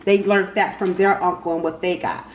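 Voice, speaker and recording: A woman giving a casual, unscripted tarot reading, speaking haltingly and repeating her phrases as she goes. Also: on the low side, steady tone, dull audio. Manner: halting, repeats phrases, talks to spirit and cards. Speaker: tarot reader, channel host. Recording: echoey room with faint background noise.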